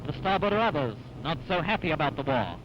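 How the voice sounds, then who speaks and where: A man's voice: a little high, big pitch swings, fast, lo-fi sound, heavy background noise. A man narrating a 1940s wartime newsreel in a dramatic, punchy, rousing way, on a vintage soundtrack. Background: music.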